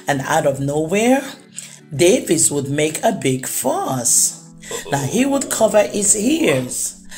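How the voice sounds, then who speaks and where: A woman reading a children's book aloud as a storyteller, expressively and with deliberate, dramatic pauses. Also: lowish voice, darkish tone.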